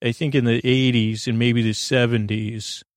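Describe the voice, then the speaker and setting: A middle-aged man with a creaky voice hosts a sleep podcast, meandering haltingly and often interrupting himself with false starts. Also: medium pitch, flat delivery, dry recording, clean studio sound. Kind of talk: rambling, meandering bedtime monologue.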